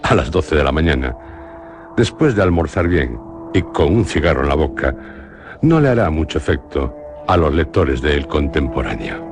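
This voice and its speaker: A man reads a horror tale aloud in a solemn, deliberate way. His voice is extremely deep. Music plays clearly beneath his narration. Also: big pitch swings. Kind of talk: scripted literary narration.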